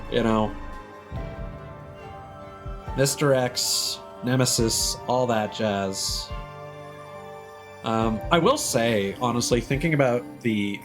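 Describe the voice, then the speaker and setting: A man giving casual, rambling and opinionated commentary as a game livestreamer. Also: on the low side. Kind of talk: casual gaming stream commentary. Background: music.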